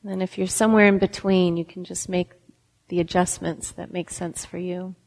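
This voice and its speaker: A woman meditation teacher leading a guided meditation, giving gentle instructions with long pauses. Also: lowish voice, level tone. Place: meditation center hall. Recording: reverberant hall.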